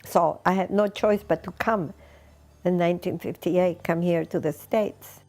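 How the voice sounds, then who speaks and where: A woman in her eighties from Peru, a Spanish speaker, reminiscing in an unhurried way with pauses between short phrases. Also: on the low side, steady tone, dark tone, faint music behind. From Lima, Peru. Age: 80s. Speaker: interviewee recounting personal history. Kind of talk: reminiscing oral history interview.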